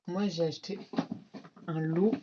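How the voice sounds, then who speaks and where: A woman's voice: on the low side, steady tone, unhurried, muffled recording, very noisy. A teenage girl with a French accent, slightly congested from a cold, chatting casually to viewers in a rambling back-to-school haul vlog full of fillers. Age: teens. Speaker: vlogger.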